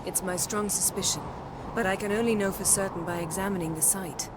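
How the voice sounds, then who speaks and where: Imperious sorceress played by a female actor, coolly self-assured, formal and commanding, fantasy game scene with loud ambience. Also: medium pitch, steady tone, a little fast, heavy background noise, natural voice. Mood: cool, determined. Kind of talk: dramatic video game dialogue.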